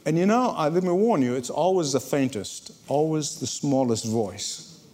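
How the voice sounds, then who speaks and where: A man from Egypt, preaching a sermon to a congregation in lively storytelling, often repeating words as he starts a sentence. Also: on the high side, normal speed. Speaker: pastor. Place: church before a congregation.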